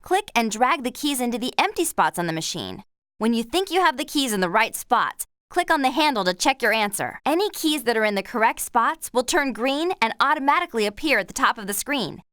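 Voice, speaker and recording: A woman's voice: a little high, very animated, a little fast, fairly bright tone, dry recording, studio quality. An actress playing a friendly, confident young woman who guides the player in a children's game, in a helpful, instructive manner. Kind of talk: scripted children's game voice acting.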